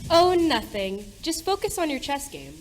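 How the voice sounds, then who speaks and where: A woman's voice: high-pitched, big pitch swings, moderate pace, noisy background. A woman playing a proper, fretful mother and hostess in an amateur radio play, with genteel theatrical delivery. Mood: polite.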